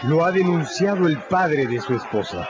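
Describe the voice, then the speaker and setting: A man's voice: fairly high pitch, animated, normal speed, noisy background. A man narrating a scripted radio drama as a dramatic storyteller. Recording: dull radio recording with music.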